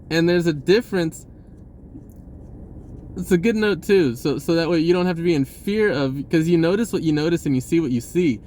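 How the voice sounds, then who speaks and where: A relaxed man with a fairly high voice talks loosely about spirituality, looping back and restarting his thoughts as he goes. A faint hum of passing traffic sits behind him. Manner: rambling, laid-back, with frequent restarts and chuckles. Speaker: spiritual vlogger. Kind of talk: casual spiritual monologue.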